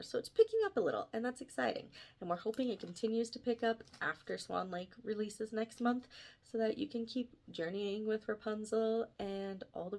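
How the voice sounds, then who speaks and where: A woman's voice: fairly high pitch, darkish tone. Woman author chatting casually about her books. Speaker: author presenting own book series. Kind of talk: casual vlog chat to viewers.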